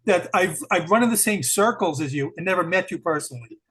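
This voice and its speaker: A man's voice: high voice, lively intonation, quick pace, a bit bright, husky. A man hosting a podcast interview, eager and laughing often. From Brooklyn, New York. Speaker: podcast host.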